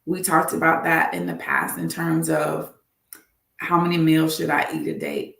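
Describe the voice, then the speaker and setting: A woman's voice: low voice, very animated, moderate pace, creaky, fairly bright tone, echoey room. A woman doctor hosting a live health talk, earnest and repeating her advice for emphasis.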